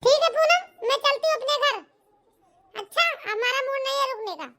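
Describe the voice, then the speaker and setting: A snobbish cartoon lady visitor voiced by a woman, impatient and rude to servants. Her pitched-up voice is tiny, extremely high and very bright. She complains with haughty indignation. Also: normal speed, full character voice. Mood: indignant. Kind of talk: amateur cartoon comedy-drama acting.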